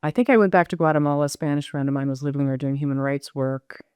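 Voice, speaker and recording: A middle-aged American woman speaks in a smooth, clear voice, close and dry. She thinks aloud in a reflective interview style, often circling back and questioning herself. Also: sits low, quick pace, darkish tone, dry recording. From United States. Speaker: novelist, podcast guest.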